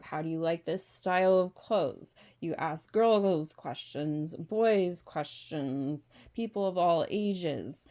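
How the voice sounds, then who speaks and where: Woman, lowish voice, slow pace, rambling unscripted monologue, halting phrasing, rhetorical questions. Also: dark tone. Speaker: vlog host.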